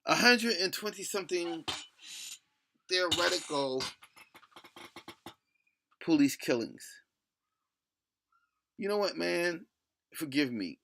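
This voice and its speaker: Middle-aged man, lisp, gravelly fry, sharp forceful tone, blunt rambling rant, salty language. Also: fairly high pitch, expressive, slow pace, pauses often, a little hiss or hum. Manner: blunt, cursing, digressive, often breaking off mid-thought. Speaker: solo video blogger. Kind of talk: rambling opinion rant to camera.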